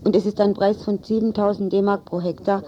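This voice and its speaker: Very dark-voiced woman, dull lo-fi sound. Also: medium pitch, level tone, brisk. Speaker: voice-over reader of translations. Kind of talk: scripted translation voice-over.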